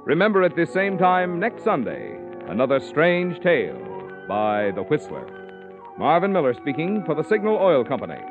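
A male announcer delivers polished, emphatic copy in 1940s radio style. His pitch is lively and wide-ranging. The recording is narrow and tinny, with faint music behind. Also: normal speed.

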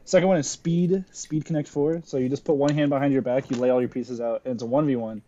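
A man's voice: mid-range voice, fairly even intonation, quick pace, dark tone. A young man co-hosting a podcast, telling a story in loose casual chat with lots of fillers. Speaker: podcast co-host. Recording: dull lo-fi audio.

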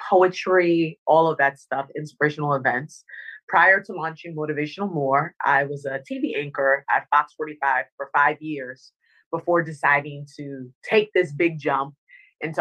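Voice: medium pitch, fairly bright tone, rough recording. A guest born in Dominica and raised in Northern Virginia talks in a mid-range voice. They speak earnestly and reflectively, like a motivational speaker. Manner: earnest, reflective, says "you know what I mean". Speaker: interview guest, motivational speaker and former TV anchor. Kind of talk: casual interview chat.

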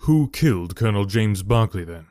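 A man reading an audiobook and voicing a calm, incisive detective who speaks in a measured, courteous, precise way. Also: on the low side, no echo, clean studio sound, voice pushed down, natural voice.

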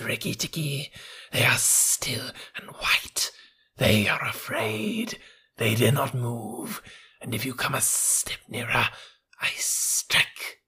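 A man voicing a cold, vengeful female cobra in a slow, hissing whisper. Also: mid-range voice, bright, forceful tone, husky, pitched above the performer's own voice, heavily acted. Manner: slow, drawn-out threats.

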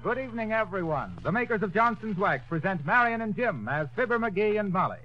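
Male announcer, declamatory old-time ad read, lively pitch, lo-fi radio sound. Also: brisk, soft background music. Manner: polished, declamatory sales delivery. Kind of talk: 1930s radio commercial and show announcing. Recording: dull old radio broadcast recording.

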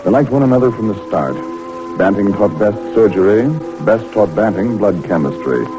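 An actor narrating an old-time radio drama as a solemn storyteller, in measured, dramatic phrases. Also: male voice, fairly low pitch, expressive, natural voice, poor audio. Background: dramatic music underneath.

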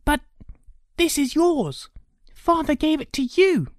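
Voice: high-pitched, big pitch swings, slow, no room sound, clean studio sound, pitched above the performer's own voice, full character voice. A performer voicing an earnest, polite, kind-hearted young boy hero in a storybook. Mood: unsure. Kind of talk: audiobook character acting.

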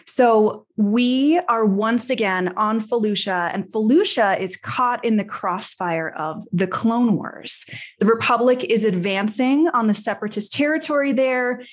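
A woman's voice: fairly high pitch, unhurried. A woman podcast host recapping an episode in casual chat, with a playful, slangy way of telling a story.

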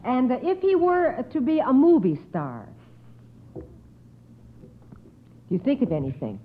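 Lively woman host on tinny vintage radio. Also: sits high, measured pace. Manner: encouraging, acknowledges each answer. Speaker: quiz show host. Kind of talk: 1950s radio quiz hosting for children. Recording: narrow, tinny old radio recording.

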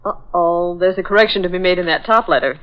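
A woman's voice: fairly low pitch, fast, clear, resonant tone, natural voice. A woman playing a polite, dutiful, apologetic office secretary in a 1940s radio drama. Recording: dull old radio recording.

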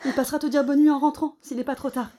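A woman's voice: high-pitched, fairly even intonation, fast, dark tone, natural voice. An actress in an audio drama playing an anxious, loving young mother who scolds her child, in a naturalistic style. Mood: tender, weary. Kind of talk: naturalistic audio fiction acting.

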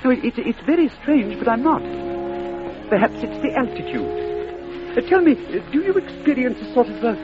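An actor playing a shy, fussy, old-fashioned schoolmaster who hesitates and stammers, in an old-time radio drama. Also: male voice, extremely high pitch, very animated, moderate pace, over music. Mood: shy, exhilarated. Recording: dull old radio recording.

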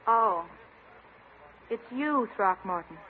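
A woman's voice: mid-range voice, animated, slow pace. A woman playing a cool, offended lady being courted in a vintage radio comedy. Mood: cool, indignant. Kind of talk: 1950s radio sitcom acting. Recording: noisy, narrow old radio recording.

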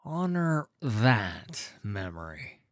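A man voicing a weary, guarded old farmer in a fantasy story, subdued, humble and halting. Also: lowish voice, big pitch swings, dry recording, studio quality, heavily acted. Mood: solemn.

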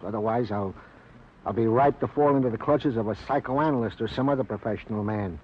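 An actor playing an earnest, sorrowful, long-winded police sergeant with pompously formal wording in a radio drama. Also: male voice, medium pitch, a little fast, close to an everyday voice. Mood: anguished, plaintive. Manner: wordy, pompously formal, plaintive. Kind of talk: 1950s radio drama acting. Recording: muffled old radio recording.

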